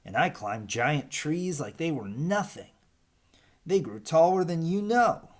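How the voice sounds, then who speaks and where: A gabby old green snake from a storybook, acted out by a man. The voice lifts higher and moves in animated swoops, reminiscing with boastful nostalgia. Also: unhurried.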